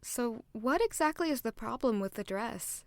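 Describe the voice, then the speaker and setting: An actress playing a gentle, sentimental young lady in an audio drama, polite and soft-spoken, narrating in first person. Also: on the high side, animated, moderate pace, slight background noise. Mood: polite, admiring.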